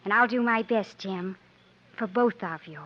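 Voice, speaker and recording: A woman's voice: mid-range voice, a little slow, lightly acted. A woman playing a tender, earnest and slightly hesitant young heroine in love, in 1950s radio drama style. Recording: muffled, noisy 1950s radio recording.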